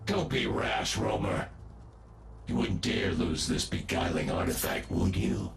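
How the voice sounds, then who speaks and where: A man playing a menacing, cultured robotic villain in a game, with a gravelly voice and slow, taunting, ornate speech. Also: on the low side, lively intonation, reverberant, a little hiss or hum, exaggerated voice. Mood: cold menace. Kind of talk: video game cutscene acting.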